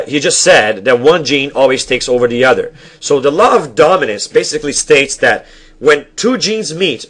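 A man's voice: a little high, a bit bright. A young male teacher giving a recorded lecture over slides at his desk, explaining step by step, often restarting sentences and checking in with the viewer. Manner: halting, self-correcting explanations with frequent restarts; asks the viewer questions and checks in with "all right?". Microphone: webcam at desk.

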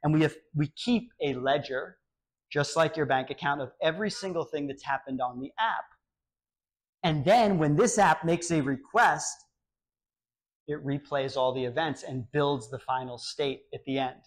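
A man in his thirties gives an animated meetup talk in a small cafe, his voice sitting a bit high. He often acts out little example exchanges as he explains. The sound comes from a camera set up some distance away. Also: normal speed. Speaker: meetup speaker. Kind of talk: technical talk with Q&A.

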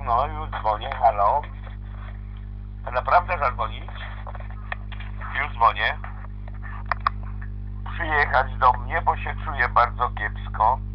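A man's voice: medium pitch, takes it slowly, long pauses, slight background noise. A man improvising a pretend-play phone dialogue in a homemade audio play, talking in a polite, hesitant, questioning way. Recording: dull lo-fi playback with guitar music over it.

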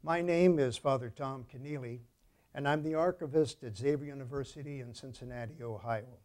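A man's voice: mid-range voice, a little fast, darkish tone. Courteous man conducting an interview. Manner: formal, courteous, frequent affirming murmurs. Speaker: university archivist and priest interviewer. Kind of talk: oral history interview.

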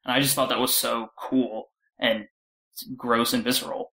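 A man's voice: medium pitch, little pitch movement, normal speed, sharp tone. A man speaking as a panelist in a casual podcast chat.

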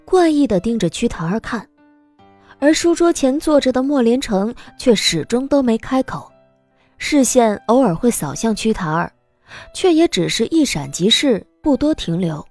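A woman's voice: a little high, sing-song, normal speed, darkish tone, dry recording, soft background music. A woman narrating a scripted story as the narrator of an audio drama.

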